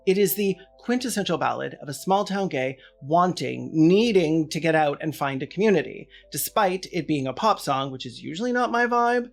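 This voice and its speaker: A man's voice: fairly high pitch, vocal fry. A man hosting a scripted video essay, delivering commentary with sarcastic, wry asides.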